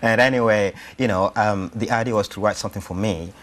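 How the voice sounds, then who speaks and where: A man's voice: on the low side, fairly quick, a bit bright. A man from Rwanda answers interview questions conversationally, hesitating as he thinks aloud. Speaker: actor-writer being interviewed.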